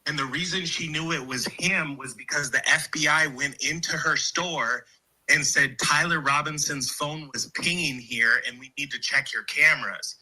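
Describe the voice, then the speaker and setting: A man talking casually and excitedly, explaining things insistently and repeating himself to get his point across. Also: on the high side, moderate pace, husky, rough recording.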